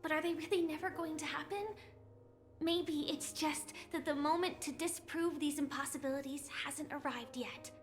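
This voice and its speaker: A woman playing a gentle, resolute young warrior in an anime game, speaking earnestly and solemnly in a measured way. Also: high-pitched, steady tone, soft background music, close to an everyday voice. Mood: tender, hopeful.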